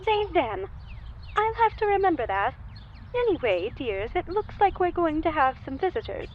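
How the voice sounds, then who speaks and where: A woman's voice: very high-pitched, very animated, normal speed. A woman voicing an affectionate, fussy mother who talks sweetly to her child and the friends. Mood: doting. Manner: fussy, sweet, a bit scattered. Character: doting middle-aged mother, affectionate. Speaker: mother character. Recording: dull lo-fi mic with faint hiss.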